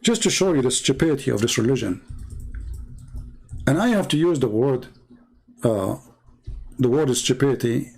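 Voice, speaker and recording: A man's voice: mid-range voice, lively intonation, moderate pace, gravelly, long pauses, a little mellow, slightly rough. A man with an Arabic accent, speaking English as a second language, giving argumentative commentary full of sarcastic rhetorical questions. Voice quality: broken, non-native grammar. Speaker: religious debate commentator. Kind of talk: polemical commentary.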